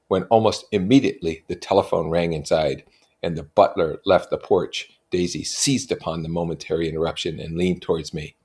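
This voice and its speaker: A man reading a novel aloud and adding informal explanatory comments in a deliberate manner. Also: low voice, a little mellow, breathy edge. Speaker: reader and commentator.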